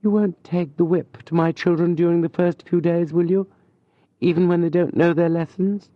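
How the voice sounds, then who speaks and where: A man voicing a gentle, anxious young noblewoman who speaks softly and pleadingly. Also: fairly high pitch, steady tone, normal speed, dry recording, highs cut off, pitched above the performer's own voice, natural voice. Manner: soft, pleading.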